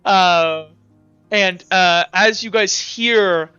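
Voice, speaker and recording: A man working as game master, improvising scene narration in a halting way and repeating words as he describes. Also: high voice, bright, forceful tone, smooth, no echo. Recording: dull audio, faint background music.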